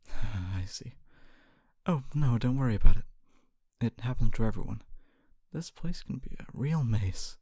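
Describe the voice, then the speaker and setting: A performer voicing a kindly, humble fantasy city guard in a soft-spoken ASMR roleplay, often whispering, with a gentle, hesitant and reassuring manner. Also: mid-range voice, animated, measured pace, long pauses, breathy edge, no room sound, clean studio sound. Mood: helpful, reassuring. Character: kindly, humble city guard, wannabe knight, calming and helpful.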